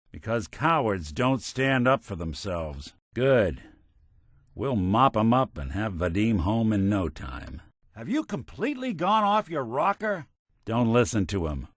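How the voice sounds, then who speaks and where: Deep-voiced, wisecracking android gumshoe. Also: male voice, no echo, clean studio sound. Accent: American. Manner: wry, streetwise, old-fashioned gumshoe slang. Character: world-weary, wisecracking hardboiled android private detective. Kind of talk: video game voice acting in 1940s film noir detective manner.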